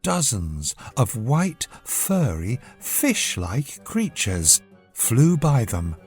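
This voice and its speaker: A narrator reads a scripted bedtime story for children. The delivery is slow and measured but very expressive in tune, with music playing behind. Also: medium pitch.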